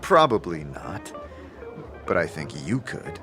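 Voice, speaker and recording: A man's voice: deep voice, big pitch swings, slow, bright, forceful tone, soft background music, voice pushed down, full character voice. A man playing a wry, easily flustered adventurer in an audio drama, with a casual way of talking. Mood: teasing. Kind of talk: audio drama role-play.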